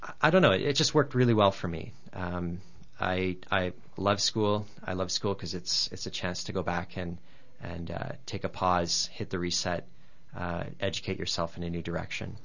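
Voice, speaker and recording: Low gravelly-voiced man, hesitant. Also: fairly even intonation, normal speed, a bit bright. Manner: hesitant, many restarts and fillers. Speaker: guest, startup founder. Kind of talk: radio interview. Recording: dull lo-fi radio recording.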